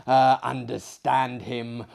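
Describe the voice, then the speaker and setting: A man voicing an effusive, flustered French gentleman host in a storybook, stammering and repeating his words. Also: medium pitch, flat delivery, slow, gravelly. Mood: flustered mirth.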